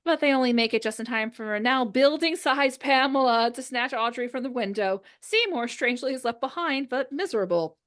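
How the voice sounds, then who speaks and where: A woman's voice: sits high, brisk, hardly any pauses, a bit bright. A woman hosting and narrating a script's stage directions aloud to friends. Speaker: host and narrator.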